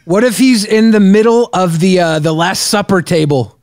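A man in casual chat, pitching ideas conversationally. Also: moderate pace, a little mellow, no room sound.

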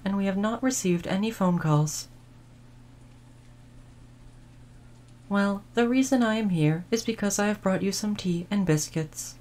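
A woman playing a gentle, dutiful female android servant in an audio roleplay, speaking in formal, polite, measured phrases. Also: mid-range voice, lightly acted. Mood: polite, attentive. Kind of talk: audio roleplay addressed to the listener.

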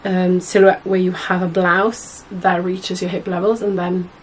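A young woman with a husky voice, hoarse from a cold, chatting to camera as a sewing vlogger in a small room at home, rambling and correcting herself often. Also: fairly low pitch, flat delivery, measured pace, slight background noise, low-quality recording. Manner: chatty, rambling, many fillers and self-corrections. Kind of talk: casual chat to camera.

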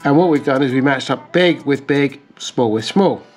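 A man's voice: medium pitch, normal speed. A man in his thirties hosting a casual restoration vlog, explaining things step by step in a hands-on way. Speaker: host of a car restoration channel. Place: bright plant-filled conservatory at a table.

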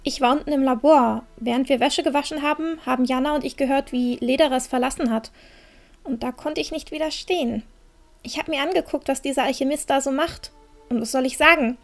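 A young heroine from a fantasy audio drama, acted by a woman, headstrong and easily flustered. Her voice sits high, with soft music behind it. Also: brisk. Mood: explaining. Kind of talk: fan audio drama acting.

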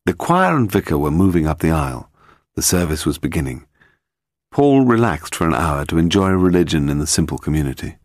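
A British man narrating a detective novel in a measured, literary storytelling manner. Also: extremely low pitch, sing-song, no room sound, studio quality. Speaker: audiobook narrator.

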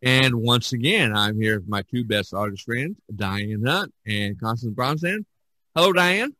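A man hosting a podcast, talking casually in a halting, meandering way. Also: medium pitch, expressive, sharp tone. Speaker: podcast host.

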